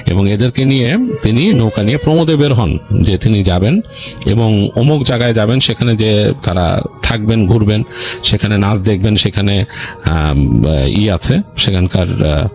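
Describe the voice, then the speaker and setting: Low-pitched Bangladeshi man telling a story. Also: moderate pace, darkish tone, soft background music. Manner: colloquial, rambling, explains asides as he goes. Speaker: ghost story narrator on a radio-style show. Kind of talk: informal, unscripted storytelling.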